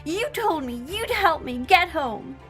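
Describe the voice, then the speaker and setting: A woman's voice: very high voice, very animated, unhurried, over music, full character voice. A woman playing a feisty, homesick young female companion in an audio drama, pleading and defiant.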